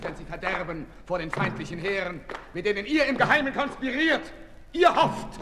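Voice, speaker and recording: An actor playing a bitter, accusing revolutionary man, declaiming like an orator in a 1960s radio drama. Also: sits high. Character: fervent, accusing male revolutionary, bitter and relentless. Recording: 1965 radio play, long reverb, loud noise.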